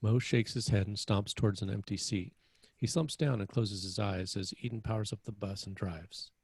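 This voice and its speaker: A man's voice: fairly low pitch, steady tone, normal speed, slightly rough, no room sound. A man reading screenplay stage directions as a narrator at a table read.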